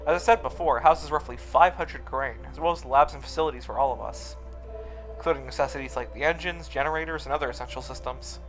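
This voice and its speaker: A man's voice: on the high side, quick pace, natural voice. Man voicing a polite scholarly alien doctor, music underneath. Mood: explanatory. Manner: polite, precise, explanatory. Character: cheerful, scholarly furry alien scientist, male doctor. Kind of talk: visual novel character reading.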